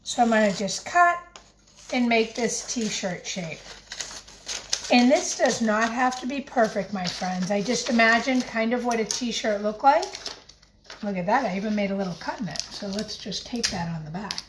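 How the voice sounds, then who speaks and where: A woman teacher gently and encouragingly talking young children through a craft in simple words as she demonstrates it. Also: a little high, lively intonation, normal speed. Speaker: primary school teacher leading a craft. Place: home kitchen, by the refrigerator. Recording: echoey room with faint background noise.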